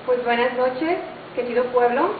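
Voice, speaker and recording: A woman's voice: a little high, unhurried. Young Mexican woman, echoey and distant. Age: 20s. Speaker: pageant contestant. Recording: tinny, echoey, noisy distant recording.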